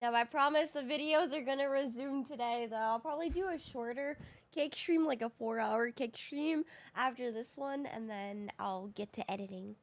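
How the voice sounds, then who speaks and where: High-voiced woman, casually streaming a game. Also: normal speed. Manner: slangy, informal. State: groggy voice, recently woken. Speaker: live streamer. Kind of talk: casual gaming stream chat.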